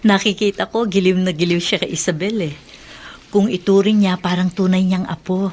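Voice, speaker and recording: An actress playing a warm, motherly middle-aged aunt in a Filipino radio drama, chatty and affectionate. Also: on the low side, steady tone, normal speed, close to an everyday voice. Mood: fond, concerned.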